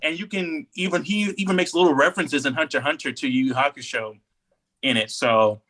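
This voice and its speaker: A man's voice: a little high, fairly quick, sharp tone, low-quality recording. A man co-hosting a podcast in casual, enthusiastic chat, talking emphatically and repeating himself to make a point. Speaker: podcast co-host.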